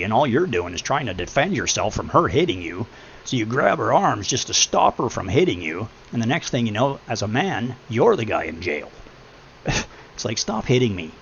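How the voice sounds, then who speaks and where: A deep-voiced middle-aged man giving blunt, preachy advice in a casual chat, swearing freely. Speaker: podcast host. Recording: muffled lo-fi with faint hum.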